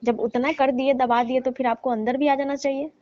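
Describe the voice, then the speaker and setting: High-pitched teasing young daughter-in-law, acted by a woman. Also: level tone, a little fast, darkish tone, lightly acted. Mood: reproachful, teasing. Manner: mock-reproachful, teasing. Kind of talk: staged comedic phone-call skit. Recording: dull lo-fi phone-call style audio.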